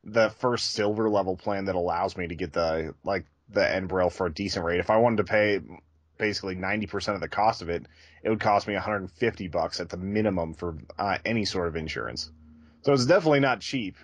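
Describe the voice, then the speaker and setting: A man's voice: deep voice, brisk, no room sound. A man co-hosting a podcast, talking casually and candidly in a conversational, self-deprecating way. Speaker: podcast co-host.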